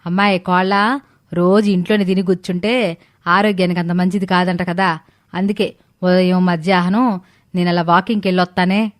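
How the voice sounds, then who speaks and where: A woman playing a gluttonous, sly older mother-in-law in an animated story, with rustic, drawn-out exclamations. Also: on the low side, close to an everyday voice. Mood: wheedling. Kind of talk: animated moral story dialogue.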